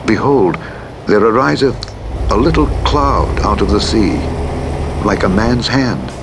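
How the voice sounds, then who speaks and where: A man with a very low voice reads scripture as a solemn narrator. His delivery is measured and declamatory. Clear truck engine noise runs behind him. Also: animated. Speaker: audiobook narrator. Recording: clear vehicle noise over a radio playback. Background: truck engine and driving noise from a simulator game.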